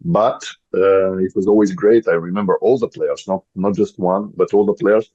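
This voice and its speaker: A low, dark-toned man in his thirties with a Bulgarian accent speaks English carefully, stopping often to find the right word. The sound is dry and slightly dull, as from a modest recording setup. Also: fairly even intonation, husky, dry recording. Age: 30s. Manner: searches for English words, many pauses. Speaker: guest, volleyball player. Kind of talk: podcast interview.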